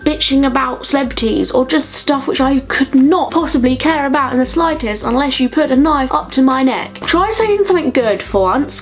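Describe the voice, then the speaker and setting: A young woman with a southern English accent talks to her viewers in a fairly high voice. Her delivery is chatty and comedic, full of playful sarcasm and mild swearing, with music faintly behind her. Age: young adult. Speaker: vlogger.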